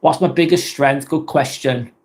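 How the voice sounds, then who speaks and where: A man's voice: medium pitch, normal speed. A man with a Lancashire accent from northwest England, hosting a casual live vlog in a rambling, blunt way, swearing casually and often restarting his sentences. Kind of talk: casual live-stream talk.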